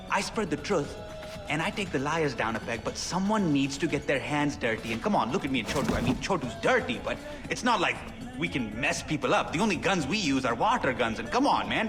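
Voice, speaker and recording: An actor playing an excitable young pirate-radio DJ in a video game, chattering fast with slang. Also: male voice, on the high side. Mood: excited. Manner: fast, animated, self-promoting chatter with slang. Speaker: radio host character. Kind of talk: video game cutscene acting. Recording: game audio with music and hall reverb.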